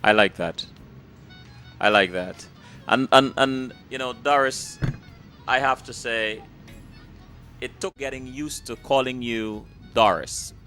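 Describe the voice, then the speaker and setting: Casting: man, host, mid-pitched, effusive and gracious, faint music under. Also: slow pace, pauses often. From Guyana. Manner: warm, effusive, repeats "and, and". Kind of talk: interview wrap-up.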